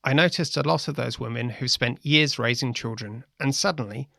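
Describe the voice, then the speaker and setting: A man with a gravelly voice, reading first-person anecdotes as a playwright. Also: medium pitch, moderate pace, pushed and bright, dry recording, studio quality.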